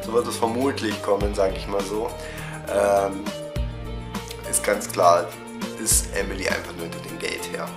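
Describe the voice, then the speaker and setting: A man's voice: fairly low pitch, little pitch movement, normal speed, pauses often, reverberant, over music. A young man in his twenties with a cold, casually recapping the week for his fan channel on a webcam at home, full of fillers. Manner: chatty, many fillers, talks straight to camera. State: has a cold, voice sounds off. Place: small room at home.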